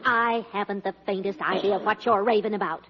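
An American actress playing a sensible, wry, exasperated but affectionate wife in a 1940s radio sitcom. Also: female voice, mid-range voice, steady tone, a little fast, lightly acted. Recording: dull, noisy 1940s radio recording.

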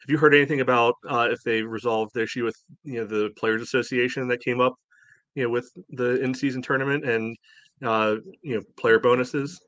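A man's voice: mid-range voice, fairly even intonation, fairly quick, fairly bright tone, no echo. Male sports podcast host, opinionated and emphatic, peppers speech with "you know", dry recording. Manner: emphatic, blunt, indignant; frequent "you know" filler.

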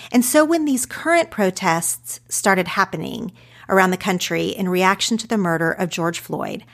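Earnest podcast host with an Oklahoma accent. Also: female voice, medium pitch, fairly bright tone. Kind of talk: podcast intro then conversation.

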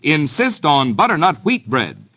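A man's voice: a little high, very animated, measured pace, bright, forceful tone. An American man reading a vintage radio commercial as an enthusiastic announcer. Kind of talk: vintage radio advertising pitch.

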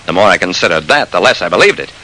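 Lively hard-boiled radio detective. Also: male voice, low voice, rapid-fire. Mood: measured, matter-of-fact. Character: shrewd, persistent male private investigator narrating his case. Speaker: narrator and lead actor. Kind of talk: old-time radio detective drama narration. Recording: noisy vintage radio recording.

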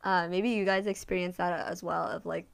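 A woman's voice: on the low side, steady tone, moderate pace, gravelly, smooth. Reflective young woman in casual chat. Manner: reflective, hesitant, many fillers.

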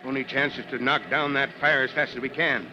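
An actor playing a gruff, commanding fire chief who gives brisk, clipped orders in old-time radio drama style. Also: male voice, medium pitch, steady tone, close to an everyday voice. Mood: grave. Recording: dull old radio recording, faint noise.

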